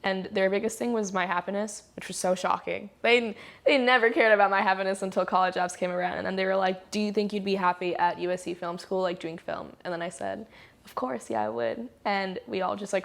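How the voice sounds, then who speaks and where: A woman's voice: medium pitch, fairly even intonation, fairly quick, creaky. A young woman from New Jersey in casual panel chat, chatty, with many fillers. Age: young adult. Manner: chatty, frequent "like" and "um". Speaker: student panelist.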